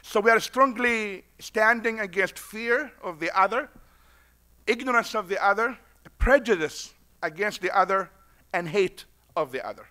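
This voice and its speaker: A man's voice: high-pitched, a little slow, bright, forceful tone. A middle-aged man with a foreign accent, an imam giving a conference speech at a podium, preacher-like and repeating lines for emphasis. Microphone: podium mic.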